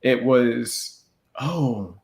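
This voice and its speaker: A man's voice: mid-range voice, drawn-out words, gravelly, darkish tone. A man co-hosting a podcast, giving casual, opinionated film talk with incredulous rhetorical questions. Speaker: podcast co-host. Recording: echoey room.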